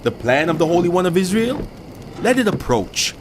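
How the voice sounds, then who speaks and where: A man's voice: high-pitched, very animated, moderate pace, background noise. A man playing a defiant, taunting sinner who scoffs theatrically in a dramatized scripture recording. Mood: mocking. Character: mocking male sinner defiantly daring God. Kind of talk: dramatized scripture audio acting.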